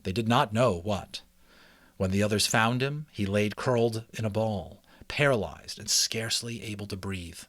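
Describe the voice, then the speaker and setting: Male storybook narrator, lowish pitch, expressive and deliberate, recorded dry and close. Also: dry recording. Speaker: audiobook narrator. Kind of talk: dramatic children's book reading with chatty asides.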